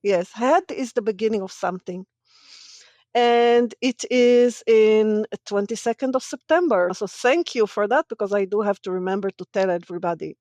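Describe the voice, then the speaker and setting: A woman's voice: mid-range voice, normal speed, darkish tone, dry recording. A middle-aged woman from Israel, a native Hebrew speaker, speaking as an academic guest in a casual podcast interview, thoughtful and often laughing between points. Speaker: podcast guest, senior lecturer in religious studies.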